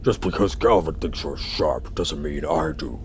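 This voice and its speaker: A surly middle-aged lab technician for an audio drama. His low, slow voice sneers with bitterness and open insolence. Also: slight background noise. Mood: angry, sneering.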